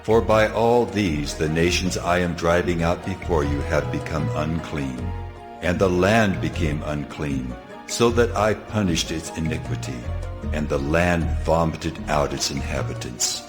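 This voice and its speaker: A solemn God in an audio scripture drama, with a very low, commanding voice. He speaks deliberately, in declarations, inside a long, ringing reverb. Music sits underneath. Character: God, solemn commanding male deity giving laws.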